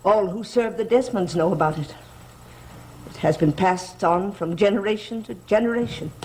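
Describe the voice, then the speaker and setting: A woman's voice: mid-range voice, darkish tone, slight background noise, natural voice. Woman acting an ominous, pleading gothic housekeeper. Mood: grave. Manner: grave, halting, imploring. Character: superstitious, pleading female servant full of dark warnings. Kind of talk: 1970s television soap opera acting.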